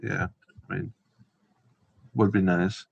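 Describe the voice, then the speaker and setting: Deep-voiced man rambling on a livestream. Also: flat delivery, takes it slowly, gravelly, husky. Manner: hesitant, rambling, repetitive. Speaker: live stream host. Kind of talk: casual unscripted livestream chat. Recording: dull, roomy livestream audio.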